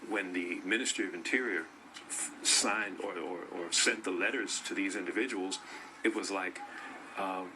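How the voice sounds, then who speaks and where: A man's voice: deep voice, normal speed, background noise. A man giving a conversational account in an interview. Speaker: community member being interviewed. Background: music.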